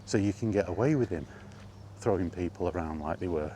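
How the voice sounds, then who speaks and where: A man's voice: low-pitched, brisk, warm tone. A man giving a casual, conversational monologue as a vlog host, often throwing in rhetorical questions and asides. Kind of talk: casual film chat monologue. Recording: clear background ambient noise.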